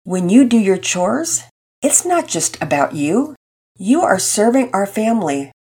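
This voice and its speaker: A woman's voice: mid-range voice, big pitch swings, measured pace, a little mellow, husky, pitched above the performer's own voice, full character voice. Woman reading as a patient storybook father. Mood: earnest. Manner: patient, earnest, instructive. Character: gentle loving father teaching his son.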